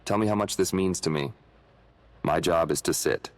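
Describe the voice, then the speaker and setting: An eager, friendly guest at a fantasy party voiced by a man speaks as a game character. His voice is fairly low. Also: normal speed, natural voice.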